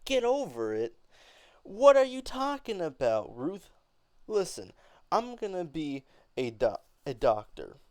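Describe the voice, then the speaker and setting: A man acting an angry, defiant young woman, pitched up. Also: sing-song, darkish tone, no echo, clean studio sound, full character voice. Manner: halting, emphatic delivery with stumbles and angry pauses. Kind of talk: amateur dramatic play reading.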